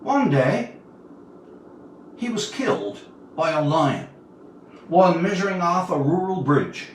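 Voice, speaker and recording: A man's voice: on the high side, sing-song, slow. A man of about seventy from Newfoundland, a writer talking and telling stories to viewers on an informal livestream. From Newfoundland, Canada. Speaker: writer reading his work to viewers. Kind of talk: informal livestream talk and storytelling. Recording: distant mic, roomy echo, audible noise.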